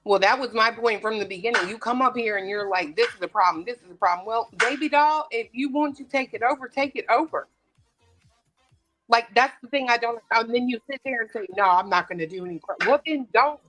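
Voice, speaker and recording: A woman's voice: a little high, brisk, over music. A woman hosting a live audio panel, talking bluntly and sassily and repeating herself for emphasis. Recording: noisy line with clicks.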